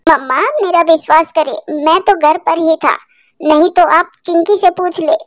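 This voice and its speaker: A woman's voice: very high voice, steady tone, unhurried, dry recording, clean studio sound. A woman voicing a small, earnest, tearful bird child who pleads, in a cartoon. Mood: pleading.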